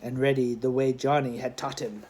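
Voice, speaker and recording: A man narrates a storybook in a medium-pitched voice. His reading is scripted and expressive, with a dramatic storyteller's delivery. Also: moderate pace, slight background noise, poor audio. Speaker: audiobook narrator, schoolmaster.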